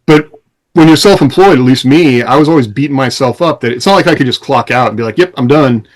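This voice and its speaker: A man speaks as a podcast co-host in casual conversation. His delivery rambles a little as he explains things, with a middling pitch and an everyday conversational tone. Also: fast talker. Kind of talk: casual conversation among co-hosts.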